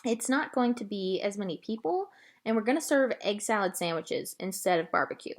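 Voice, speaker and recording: An earnest young girl from a children's storybook, acted by a woman, wistful yet eager. Her speech is expressive and pleading, swinging widely in pitch. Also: a little fast. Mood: polite, excited. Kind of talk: children's audiobook character voice.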